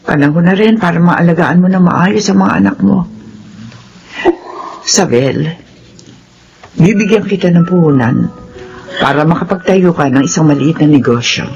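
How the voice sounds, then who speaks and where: Remorseful, high, creaky Filipino parent. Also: male voice, darkish tone. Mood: grateful, solemn. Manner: solemn, apologetic, deliberate. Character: remorseful, grateful employer and parent. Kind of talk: Filipino radio drama acting. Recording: dull radio recording with faint music.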